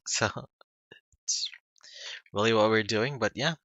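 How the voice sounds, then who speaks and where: A man streaming a game, reading its first-person narration aloud in a calm, unhurried way with small slips and restarts. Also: on the low side, no echo, muffled recording. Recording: loud music behind the voice. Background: loud music.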